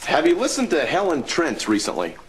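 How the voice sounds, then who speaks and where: Ingratiating, lively-pitched 1930s executive man. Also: a little fast, a little hiss or hum. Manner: ingratiating, hedging, repeats himself. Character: smooth-talking, uneasy male corporate radio executive of 1930s. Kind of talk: period television drama acting.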